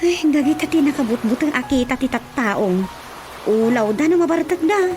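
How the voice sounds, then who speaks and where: A high-voiced, nosy village auntie with an Ilocano accent , acted by a woman, chats and pries in radio drama style. Prominent music plays behind her. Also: expressive, moderate pace. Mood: gossipy, musing. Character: nosy, chatty village auntie questioning a young girl.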